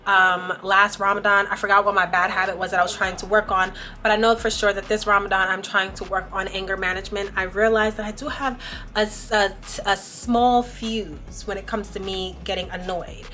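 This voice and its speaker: A woman's voice: medium pitch, fairly even intonation, a little fast, pushed and bright, slight background noise. A young woman vlogging casually to camera, explaining things in a chatty way with plenty of "um" and "like". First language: not Arabic. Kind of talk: casual vlog talk to camera.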